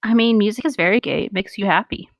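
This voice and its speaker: A woman's voice: medium pitch, fast, dry recording. A woman co-hosting a podcast, reading fan fiction aloud and chatting casually. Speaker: podcast co-host.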